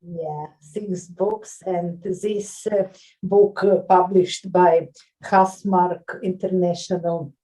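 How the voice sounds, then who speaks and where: A Lithuanian woman who works as a nurse and writes books, answering interview questions in halting English with frequent pauses and fillers. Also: fairly low pitch, fairly even intonation, mellow, recorded from a distance, poor audio. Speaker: author and nurse.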